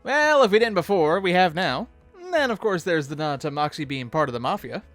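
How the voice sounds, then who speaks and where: A high-voiced man co-hosts an animated-episode commentary. His intonation swings widely, and he banters in a chatty, animated manner over quiet music. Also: brisk. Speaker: co-host of fan commentary video.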